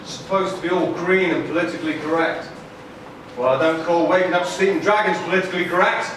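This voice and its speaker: Male performer as pompous old puppet-show dragon, grumbling indignantly, high-pitched, recorded far off in a noisy echoing hall. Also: steady tone, fast, natural voice. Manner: indignant, pompous, chatty British grandpa phrasing. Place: large room, live audience puppet show.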